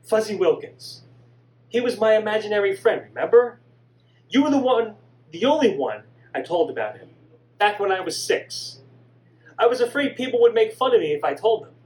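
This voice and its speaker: A man voicing a remorseful young son who pleads softly in a lowered, quiet voice. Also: big pitch swings, moderate pace. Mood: pleading. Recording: distant mic with faint hum.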